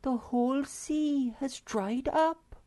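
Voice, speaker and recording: A man's voice: very high-pitched, takes it slowly, warm tone, voice pushed up. A man voicing a timid young female creature in a storybook reading, breathless and anxious.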